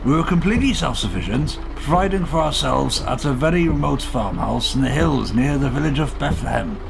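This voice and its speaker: A man's voice: medium pitch, lively intonation, a little fast, gravelly. A man playing a weary, guarded, protective Welsh father in a radio play, speaking politely and hesitantly in an old-fashioned way. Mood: reserved, reflective. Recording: noisy vehicle ambience behind voice.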